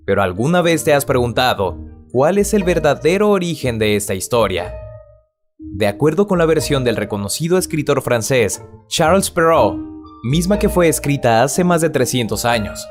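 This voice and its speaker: Expressive male narrator over music. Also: medium pitch. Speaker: video narrator. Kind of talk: scripted storytelling narration. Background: background music.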